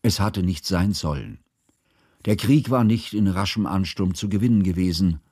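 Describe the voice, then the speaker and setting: A man acting in a radio drama as a grave, reflective narrator recalling a war in formal, measured literary language. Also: sits low, mellow, husky, no echo, clean studio sound. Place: studio.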